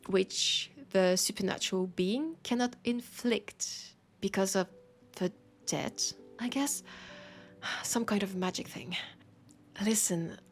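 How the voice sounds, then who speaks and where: A woman with a French accent playing a teasing, warm bookshop keeper in an intimate audio roleplay, soft and playful, often slipping toward a whisper. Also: mid-range voice, slight background noise, close to an everyday voice. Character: teasing, warm young-sounding human bookshop keeper, chatting to vampire.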